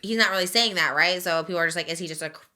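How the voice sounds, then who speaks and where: Fast-talking, bright-voiced woman podcaster. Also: on the low side. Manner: incredulous, questioning, conversational. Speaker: podcast host. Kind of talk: casual celebrity gossip chat.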